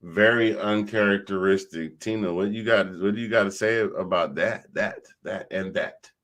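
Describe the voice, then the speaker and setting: A man's voice: on the low side, moderate pace, a bit bright. A man hosting a casual football panel show, joking and self-deprecating, with a slight stutter. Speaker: show host. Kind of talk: casual sports panel chat.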